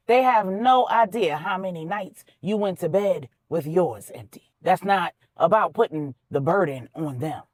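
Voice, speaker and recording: A woman's voice: medium pitch, no room sound, studio quality, lightly acted. A woman voicing a wise older mother in a story, giving earnest, folksy, emphatic counsel.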